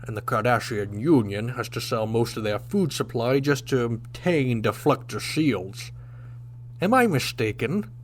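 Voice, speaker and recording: A man's voice: mid-range voice, animated, measured pace, lightly acted. A man playing a proud, hot-tempered Klingon warrior captain in a sci-fi radio drama, with indignant, formal, old-fashioned phrasing. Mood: grudging. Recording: faint background noise.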